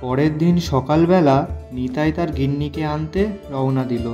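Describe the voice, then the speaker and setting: A man's voice: a little high, normal speed, dark tone, highs cut off, soft background music. A man reading scripted narration for a cartoon story.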